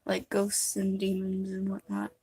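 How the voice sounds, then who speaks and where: A woman's voice: medium pitch, monotone, measured pace, vocal fry, breathy edge, no echo. A young woman chatting casually and musingly while live streaming a makeup session, rambling between thoughts. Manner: rambling, soft-spoken and musing.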